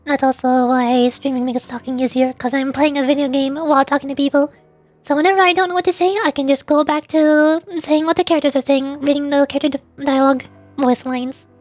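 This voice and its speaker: A woman streaming to her viewers, chatting casually and thinking aloud between pauses. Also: sits high, fairly even intonation, a little mellow, faint music behind.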